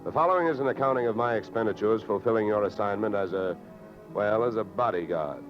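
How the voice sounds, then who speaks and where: An actor playing a wry, unflappable insurance investigator in a clipped, matter-of-fact 1940s radio detective style. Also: male voice, on the low side, quick pace, lightly acted. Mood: dry narration. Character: hard-boiled male freelance insurance investigator, wry, brisk, unflappable. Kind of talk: 1940s radio detective acting and first-person narration. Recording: dull vintage radio recording with music.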